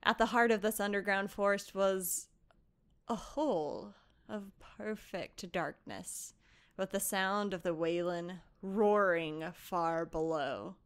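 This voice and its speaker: Female roleplayer, measured fantasy narration, smooth and slow. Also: mid-range voice. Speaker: tabletop roleplay player.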